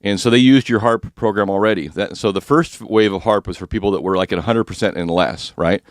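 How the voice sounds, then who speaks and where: Husky-voiced man hosting finance radio. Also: fairly low pitch, a little fast, no room sound, studio quality. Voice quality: husky, slightly rough voice. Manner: chatty, explains mortgage rules plainly with asides and jokes. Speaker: radio show host. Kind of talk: casual finance talk radio.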